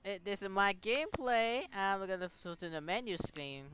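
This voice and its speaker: A woman's voice: medium pitch, expressive, normal speed, a bit bright, slight background noise. A woman giving dramatic, reactive let's play commentary, often repeating phrases and reading game text aloud. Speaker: gaming streamer. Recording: music behind the voice.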